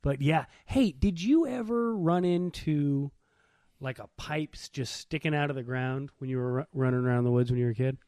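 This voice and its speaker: A man's voice: mid-range voice, moderate pace, darkish tone, no echo, studio quality. A man co-hosting a podcast with casual banter, talking in a blunt, informal way. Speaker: podcast co-host.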